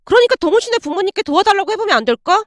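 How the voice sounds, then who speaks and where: A woman's voice: very high-pitched, big pitch swings, rapid-fire, dry recording, studio quality, voice pushed up. A woman voicing an entitled, indignant young ex-girlfriend in a dramatized story, whining and demanding. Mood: whiny insistence.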